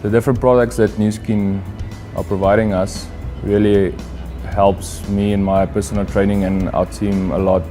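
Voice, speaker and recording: A man's voice: lowish voice, level tone, moderate pace, few pauses. A man, a rugby player, giving an earnest testimonial in a promotional video. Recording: promo video over music.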